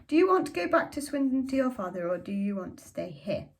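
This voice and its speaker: A woman voicing a calm male policeman who questions a boy and his mother. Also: high-pitched, fast, gravelly, pitched above the performer's own voice, heavily acted. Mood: businesslike. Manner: matter-of-fact questioning.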